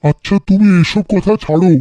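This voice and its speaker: Man acting a mellow, weary poor bangle seller. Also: high-pitched, brisk, dry recording, lightly acted. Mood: resigned. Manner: plaintive, sighing. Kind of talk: animated folktale voice acting.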